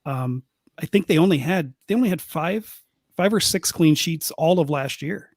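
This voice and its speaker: A man's voice: a little high, normal speed, a little mellow, no echo, studio quality. A man co-hosting a soccer talk show, chatting casually with frequent restarts. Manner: chatty, restarts sentences, light laughs. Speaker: podcast co-host. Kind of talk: casual chat. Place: press box at the stadium.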